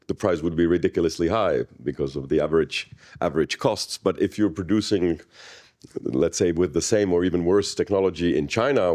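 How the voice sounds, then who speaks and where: A man with a Czech accent explains economic ideas in long, lecture-like runs. His voice sits very low, and he drops in an occasional dry joke. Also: a little mellow. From Czech Republic. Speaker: panel moderator and economist. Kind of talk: explanatory panel talk.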